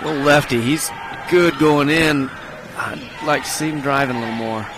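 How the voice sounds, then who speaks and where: A man giving color commentary at a high school basketball game, explaining spacing, screens and rebounds like a coach. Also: moderate pace. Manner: coach-like breakdown of plays and spacing. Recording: game broadcast with gym noise. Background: crowd and court noise of the game.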